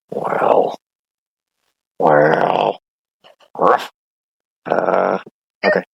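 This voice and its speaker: Very gravelly, slow, deep-voiced man. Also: no echo. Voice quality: gravelly, low. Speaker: audio drama performer. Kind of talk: blooper outtakes, off-script muttering.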